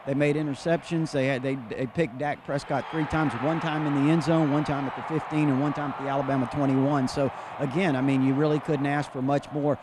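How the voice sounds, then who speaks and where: A man's voice: on the high side, little pitch movement, brisk, few pauses. American male analyst over noisy background. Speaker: sports analyst. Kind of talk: off-the-cuff game analysis. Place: on location, away from studio. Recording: noisy location ambience.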